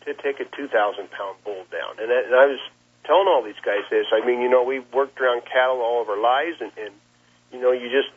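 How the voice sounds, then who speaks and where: Man, husky voice, plain-spoken, halting with repeated words, muffled telephone sound. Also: medium pitch. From Nebraska, United States. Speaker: cattle rancher being interviewed. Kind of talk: interview account, conversational. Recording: muffled, lo-fi phone interview line.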